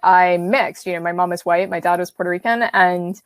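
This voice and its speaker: A woman's voice: fairly low pitch, fairly even intonation, normal speed, dry recording. A woman in her thirties from the American Midwest, an author-illustrator chatting casually on a video call, rambling with lots of fillers and restarts. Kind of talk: casual conversation. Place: home studio room with brick wall. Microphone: video call webcam.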